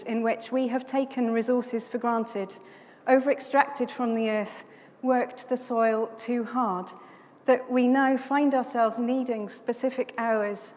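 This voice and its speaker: A woman from South Wales giving a sermon as a guest speaker, in a measured, reflective way, phrase by phrase. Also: fairly high pitch, darkish tone. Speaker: guest preacher from a conservation charity. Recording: long hall reverb, background noise.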